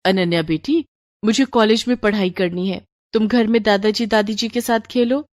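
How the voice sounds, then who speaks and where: A woman's voice: mid-range voice, moderate pace, darkish tone, dry recording, clean studio sound, close to an everyday voice. Earnest young daughter-in-law, played by a woman. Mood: gentle. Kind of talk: animated moral story dialogue.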